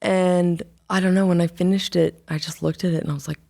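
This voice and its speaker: A young woman, an artist, talking casually in an interview, rambling and halting with many fillers and restarts. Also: on the low side, level tone, creaky, mellow. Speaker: album artist, interview guest. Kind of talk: casual conversation.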